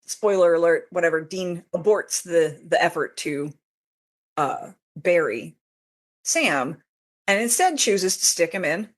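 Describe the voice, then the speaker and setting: A woman's voice: on the low side, animated, a little slow. A woman talking casually as an interview guest about her own writing. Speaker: podcast guest, fanfiction author.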